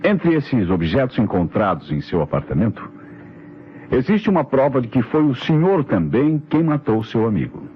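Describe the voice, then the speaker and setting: A Brazilian actor playing a shrewd, self-assured police inspector who lays out crimes theatrically in an old radio mystery. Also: male voice, mid-range voice, very animated, slight background noise, close to an everyday voice. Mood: triumphant, accusing. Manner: theatrical, deliberate, accusing explanations. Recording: dull old radio recording, faint music.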